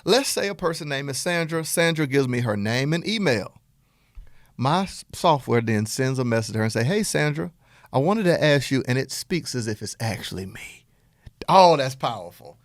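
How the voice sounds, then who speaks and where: A Southern American man coaching his audience on business, talking with a folksy, high-energy swing. His pitch rises and falls a lot as he makes his points. The sound is dry and close, with no room echo. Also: moderate pace. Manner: energetic, animated, folksy with jokes and laughs. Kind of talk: direct-to-camera teaching talk.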